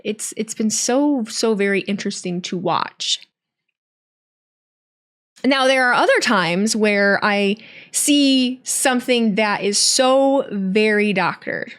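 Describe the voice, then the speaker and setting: A young woman hosting her own podcast, talking candidly and rambling, often correcting herself. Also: a little high. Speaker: wrestling streamer and podcast host. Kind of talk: casual solo podcast talk.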